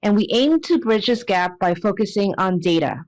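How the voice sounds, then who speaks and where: A woman hosting a podcast, delivering a scripted show introduction in a polished, measured way. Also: mid-range voice, animated, darkish tone, no echo, low-quality recording. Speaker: podcast host. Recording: dry close sound.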